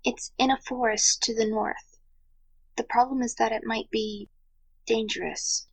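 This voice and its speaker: A woman's voice: fairly high pitch, fairly even intonation, a little slow, breathy edge, rough recording. A woman playing a timid, earnest young princess with a hesitant, stammering manner in an audio drama. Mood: nervous, earnest. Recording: music behind the voice.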